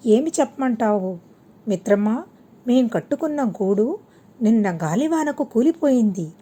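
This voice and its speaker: Small, humble storybook sparrows, voiced by a woman, speaking as one with an anxious temper. The voice is mellow and fairly high, with very slow, spaced-out speech. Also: lively intonation. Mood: sad. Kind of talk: children's storybook reading.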